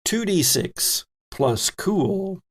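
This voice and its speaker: A man's voice: high-pitched, very animated, extremely slow. A man narrating a tabletop horror game as game master, describing scenes vividly and addressing the players directly.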